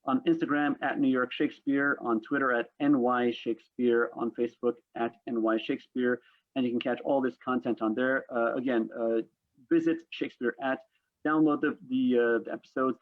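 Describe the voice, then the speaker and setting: A man hosts an online discussion in a semi-formal, conversational way. His voice sits at a middle pitch and comes through a thin, phone-like video call connection. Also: normal speed. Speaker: host of a panel discussion. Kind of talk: hosting an online panel, semi-formal talk.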